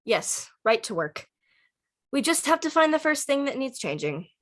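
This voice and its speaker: A woman's voice: sits high, very animated, normal speed. A woman playing a dry, teasing, star-loving teenager at a table read of a film script. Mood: musing, wry. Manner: dry, musing, teasing banter.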